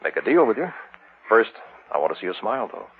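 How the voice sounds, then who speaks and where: Through a noisy, tinny old radio recording comes a deep-voiced man obsessed with midnight ritual. His delivery swings widely in pitch, breathless and muttering, in the manner of vintage radio horror. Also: sing-song, quick pace. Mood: coaxing, determined. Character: obsessive husband dabbling in midnight occult ritual.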